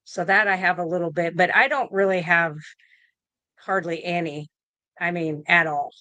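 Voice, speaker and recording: Relaxed woman in her fifties, dry sound. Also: on the low side, moderate pace, dry recording. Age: 50s. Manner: relaxed, rambling with many fillers and restarts. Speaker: guest nurse. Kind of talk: casual interview over video call.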